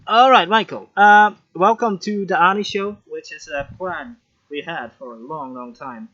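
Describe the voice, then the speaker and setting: A man's voice: sits high, moderate pace, sharp tone. Joking man hosting a live stream. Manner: chatty, joking, distracted by tech trouble. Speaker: host. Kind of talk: casual live stream chat. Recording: slightly dull lo-fi stream audio.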